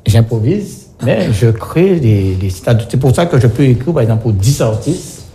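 A man's voice: medium pitch, lively intonation, normal speed, mellow. A man in casual conversation, explaining things as a guest and often repeating and rephrasing himself. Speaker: guest, songwriter for singers.

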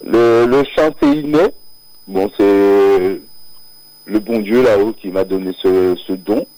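Slow, hesitant low-voiced man on the radio. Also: warm tone, dry recording, poor audio. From Martinique, born near Paris. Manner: hesitant, many fillers and restarts, laughs often. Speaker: singer, radio guest. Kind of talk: live radio interview, casual chat. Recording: radio broadcast audio.

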